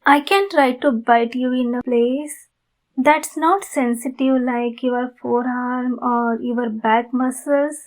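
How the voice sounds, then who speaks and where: A woman voicing a kind, polite vampire neighbor in an ASMR roleplay, gentle and apologetic in manner. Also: sits high, fairly even intonation, slow pace, poor audio. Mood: gentle, pleading.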